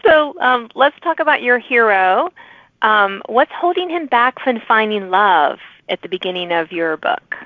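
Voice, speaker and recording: A woman's voice: on the high side, very animated, a little slow, no echo. Chatty lady doing a casual author interview, super dry tinny phone-line audio. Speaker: podcast host interviewing authors. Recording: phone-like narrow band, dry.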